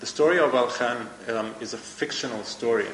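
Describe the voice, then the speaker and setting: A man from Egypt, a native Arabic speaker, answering interview questions on stage in a halting way, often repeating words. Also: mid-range voice, a bit bright, a little hiss or hum. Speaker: guest cartoonist. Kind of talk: live event interview. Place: event hall with audience. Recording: echoey room with faint ambience.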